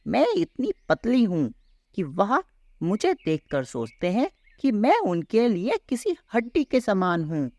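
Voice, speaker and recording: A woman voicing a skinny, harassed village cow in a children's cartoon story, with plaintive, expressive delivery. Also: medium pitch, measured pace, no echo, studio quality. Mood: complaining.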